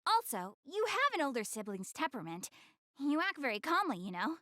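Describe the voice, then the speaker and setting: A high, very animated young goddess girl from an anime video game, recorded dry and close. She is proud and slightly haughty, yet shy and earnest, easily flustered and hesitant when she opens up. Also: fairly quick, dry recording, heavily acted. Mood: friendly, open. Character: shy, prideful young goddess girl, tsundere but caring. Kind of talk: English-dubbed anime video game acting.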